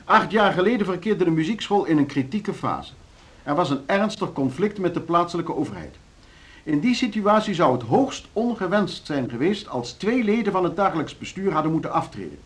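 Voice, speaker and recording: Radio drama: a pompous male chairman, fairly high voice, formal and placating oratory. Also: big pitch swings, a little fast. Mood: flustered, insistent. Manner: pompous, oratorical, self-repeating. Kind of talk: formal speech in Dutch radio play.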